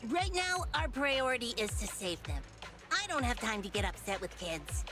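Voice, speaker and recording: A woman's voice: fairly high pitch, very animated, moderate pace. An actress playing a feisty, cocky but caring young woman in a video game, brash and self-assured. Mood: confident, cocky. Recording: loud game music behind the voice.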